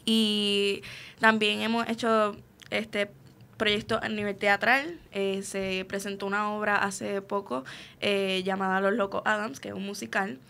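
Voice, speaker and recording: A woman's voice: mid-range voice, flat delivery, measured pace, vocal fry, bright, forceful tone. A young woman with a Puerto Rican accent answering casually as an interview guest, often hesitant as she searches for words. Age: young adult. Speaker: interview guest, student actor.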